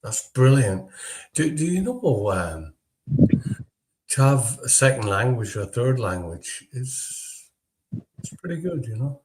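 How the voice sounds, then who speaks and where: A man hosting a casual live group discussion, chatty and quick to jump in over others, swearing now and then. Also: medium pitch, big pitch swings, darkish tone, a little hiss or hum, poor audio. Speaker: host. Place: lives in Germany.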